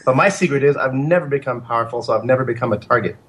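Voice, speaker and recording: A man, a guest editor, joking in a deadpan, self-mocking way during a casual chat. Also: medium pitch, very fast, husky.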